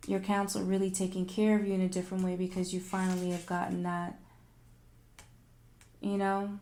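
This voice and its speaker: A woman hosting a tarot livestream in casual, animated, informal talk, thinking aloud and repeating phrases for emphasis, her voice turning a little raspy. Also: on the low side, a little hiss or hum. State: voice getting raspy. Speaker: tarot reader and livestream host.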